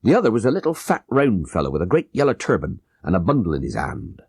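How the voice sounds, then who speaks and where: A man's voice: lowish voice, huge pitch swings, quick pace, a little mellow, husky, no echo, studio quality, heavily acted. A man playing an old-time ex-soldier who earnestly and vividly recounts his crime in an audiobook. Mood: suspenseful. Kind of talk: audiobook character narration.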